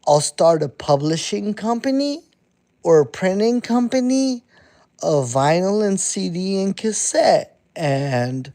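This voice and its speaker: Man, 30s, fairly high voice, dark and mellow, unhurried, blunt, cusses a lot. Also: animated. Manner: blunt and profane, repeats himself. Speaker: underground hip-hop artist streaming to chat. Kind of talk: casual live-stream monologue.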